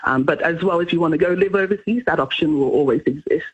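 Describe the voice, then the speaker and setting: A woman's voice: sits low, fast, breathy edge. A woman from Ghana giving earnest, explanatory answers in conversational style as a guest in a radio interview. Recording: muffled radio interview audio.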